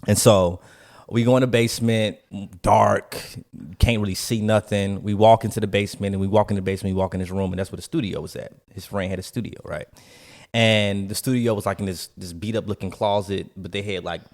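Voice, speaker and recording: Low-voiced man telling stories casually. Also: fairly even intonation, fairly quick, darkish tone. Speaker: podcast co-host and storyteller. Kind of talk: casual conversational storytelling.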